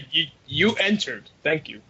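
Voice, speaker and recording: A man co-hosting a casual group podcast, chatting in a jokey, animated way and swearing freely. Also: on the high side, slow pace, a little hiss or hum. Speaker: podcast co-host. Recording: dull lo-fi voice chat.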